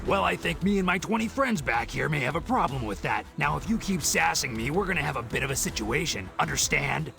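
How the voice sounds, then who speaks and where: A bullying video game gate guard, smug and cocky. His high voice is gravelly and swings widely, with music behind it. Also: expressive, brisk, few pauses. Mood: smug, threatening. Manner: smug, condescending.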